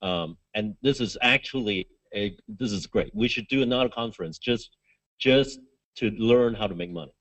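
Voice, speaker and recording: A man's voice: on the low side, normal speed, a bit bright. A man giving casual off-the-cuff comments as a conference attendee. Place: conference hall. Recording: dull, lo-fi event recording.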